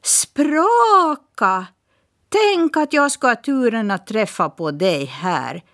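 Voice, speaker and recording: A woman voicing a small, eager male elf, in a slightly raised, lively voice. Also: fairly high pitch, dry recording, clean studio sound, voice pushed up.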